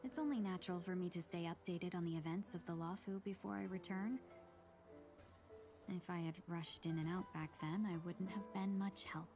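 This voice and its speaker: A woman voicing a courteous yet resolute fox-woman merchant in a video game, speaking formally and eloquently in measured phrases. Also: fairly low pitch, fairly even intonation, close to an everyday voice. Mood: composed, reflective. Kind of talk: scripted video game dialogue. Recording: clear music behind the voice. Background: game music.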